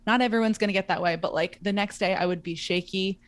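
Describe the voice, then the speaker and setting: A woman's voice: medium pitch, fast talker, no echo. A woman in her twenties co-hosting a podcast, chatting casually with frequent laughs and fillers. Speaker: podcast co-host. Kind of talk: casual chat.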